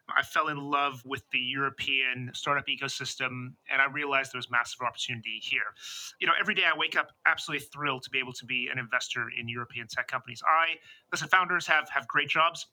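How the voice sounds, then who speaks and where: Bright, animated American man. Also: mid-range voice, poor audio. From United States. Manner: enthusiastic, expansive, animated. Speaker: venture capital investor, podcast guest. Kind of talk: conversational interview.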